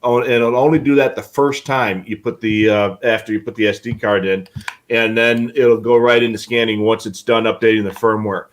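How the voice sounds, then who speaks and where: A man's voice: fairly low pitch, steady tone, normal speed. A man co-hosting a live hobby show, explaining products in a casual, conversational way. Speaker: co-host of a live radio scanner show.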